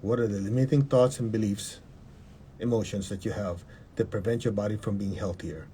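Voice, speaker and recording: A man leading a guided meditation in a calm, measured way, repeating short instructions and having the listener repeat affirmations. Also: fairly low pitch, a little hiss or hum.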